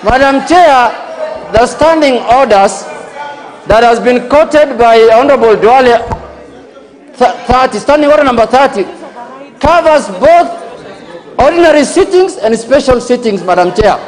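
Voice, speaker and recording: A middle-aged man, a member of parliament at a desk microphone, arguing defiantly and rising to shouts. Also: extremely high pitch, lively intonation, measured pace, pauses often. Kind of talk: combative floor debate. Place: large parliament chamber. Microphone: desk microphone, standing. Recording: long hall reverb, faint crowd murmur.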